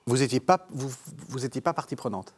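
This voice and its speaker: A man's voice: mid-range voice, expressive, fairly quick, husky. A male TV host with an ordinary mid-range voice leads a studio interview. He asks his questions politely, circling back and restarting his phrases several times before he gets to the point.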